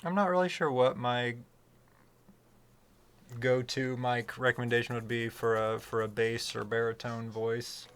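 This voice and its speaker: A man with a fairly low voice, chatting casually on a livestream and rambling with many fillers and restarts. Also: fairly even intonation, measured pace, fairly bright tone, smooth. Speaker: streamer talking about microphones.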